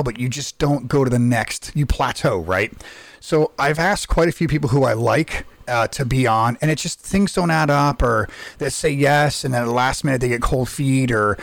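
Hoarse man in casual podcast chat. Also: mid-range voice, expressive, moderate pace, dry recording. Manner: rambling, lots of restarts and fillers. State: losing his voice. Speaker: podcast co-host.

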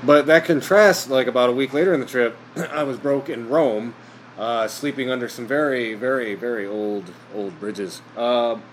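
A man chatting as a podcast guest, rambling with lots of fillers and quick laughs. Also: mid-range voice, slight background noise, poor audio. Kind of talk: casual chat.

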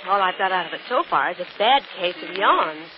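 A woman's voice: fairly low pitch, expressive, a little fast, natural voice. An actress playing a breezy, wry young woman in a 1930s radio drama. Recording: muffled, noisy 1930s radio recording.

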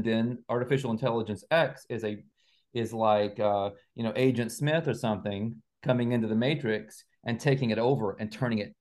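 A man's voice: mid-range voice, darkish tone. A man speaking as an interview guest, explaining conversationally and carefully qualifying his points like a teacher.

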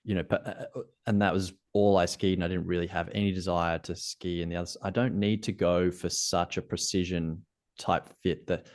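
Low-voiced Australian man talking ski gear. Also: moderate pace. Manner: relaxed, thoughtful, technical about gear. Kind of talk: casual interview chat.

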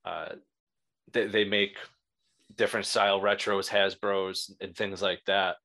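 Man, host, bright tone, low pitch, chatty fan, hesitant fillers. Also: little pitch movement, unhurried, gravelly. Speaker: podcast host. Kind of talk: casual interview.

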